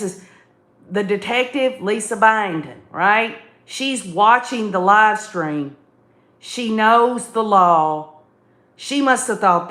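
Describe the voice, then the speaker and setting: A woman's voice: medium pitch, fairly bright tone. A woman hosting an opinionated live commentary, speaking emphatically and repeating phrases as she addresses listeners in a folksy, direct way. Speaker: livestream host commentator. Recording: echoey room sound.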